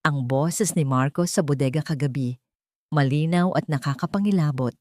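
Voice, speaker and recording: A Filipino woman narrates a dramatic audio story in the first person, her voice sitting low. The recording is dry and close, with no room around it. She pushes her pitch and volume up when she voices other people's lines. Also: normal speed, a little mellow, no room sound. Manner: rises in pitch and volume for quoted dialogue.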